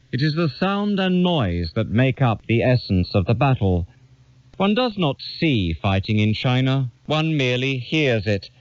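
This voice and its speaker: A man gives a scripted literary reading at a middle pitch that moves in lively swings. His delivery is measured and formal. The sound is dry and somewhat muffled, like a lo-fi recording. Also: no echo. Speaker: reader of an essay.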